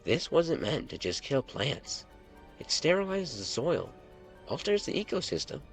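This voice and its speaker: Casting: intense young female investigator acted by a deep-voiced male storyteller, urgent, lifted well above the narration, gravelly edge. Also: sing-song, unhurried, faint music behind, full character voice. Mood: alarmed. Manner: urgent, emphatic. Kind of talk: story character dialogue.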